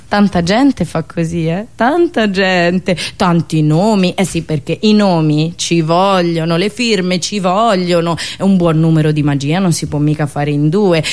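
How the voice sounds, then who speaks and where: A woman performing a satirical storytelling monologue, dramatic and ironic, with pauses and drawn-out words. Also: on the low side, darkish tone. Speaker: radio host and monologist.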